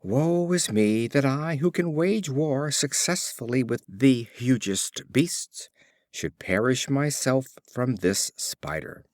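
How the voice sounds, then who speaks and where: Man voicing a boastful little gnat, expressive and declamatory. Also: mid-range voice, takes it slowly, dry recording. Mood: lamenting. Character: boastful, defiant little male gnat challenging a lion.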